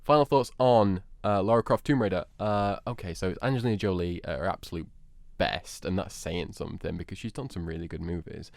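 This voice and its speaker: A man's voice: deep voice, brisk, few pauses, darkish tone, no room sound, clean studio sound. A man co-hosting a podcast, debating films informally and laughing often as he talks. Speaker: podcast co-host. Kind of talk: casual film debate chat.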